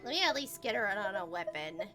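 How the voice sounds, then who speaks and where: A woman chatting casually and excitably as she streams a game, often thinking aloud and exclaiming. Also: mid-range voice, a little fast. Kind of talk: casual livestream gameplay chat. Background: video game music.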